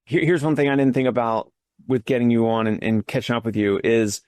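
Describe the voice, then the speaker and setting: A man's voice: mid-range voice, steady tone, brisk, a little mellow, no echo, studio quality. A man hosting a casual interview, thinking aloud and building his points step by step. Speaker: show host.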